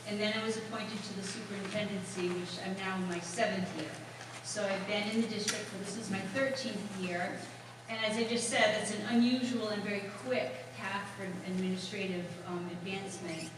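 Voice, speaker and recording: A woman school superintendent introducing herself on a panel in a measured, plain way. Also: mid-range voice, steady tone, noisy background. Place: school hall. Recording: echoey room, distant mic.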